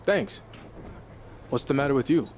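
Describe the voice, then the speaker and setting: Confident young wrestler, medium pitch male actor. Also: unhurried, a little mellow, close to an everyday voice. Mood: frank. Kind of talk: video game cutscene dialogue. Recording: faint background noise.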